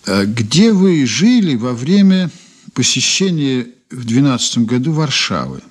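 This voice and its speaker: Husky, sly, courteous investigator. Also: male voice, medium pitch, big pitch swings. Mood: polite, insinuating. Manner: polite, insinuating, deliberately casual. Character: courteous, sly male investigator probing a witness. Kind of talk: Soviet 1980s radio play, dialogue and first-person narration.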